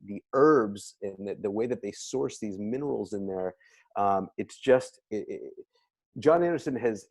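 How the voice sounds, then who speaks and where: Low-voiced man in casual product talk. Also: darkish tone, no room sound.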